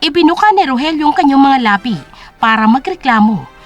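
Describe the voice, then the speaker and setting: A female narrator with a high-pitched voice and very animated intonation. She tells a scripted dramatic story as an audio drama storyteller, with faint music playing behind her. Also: fairly quick.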